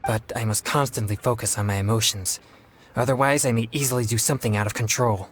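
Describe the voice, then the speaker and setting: An actor playing an earnest young exorcist in a video game, speaking politely and formally with hesitant pauses. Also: male voice, mid-range voice, steady tone, lightly acted. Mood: earnest, composed. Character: earnest young male exorcist, excitable yet self-controlled.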